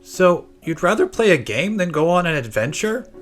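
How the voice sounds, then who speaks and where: A man's voice: sits high, sing-song, normal speed, faint music behind, voice pushed up. A man voicing a mischievous little brother, a young boy who teases and goads. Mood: taunting. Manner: teasing, goading.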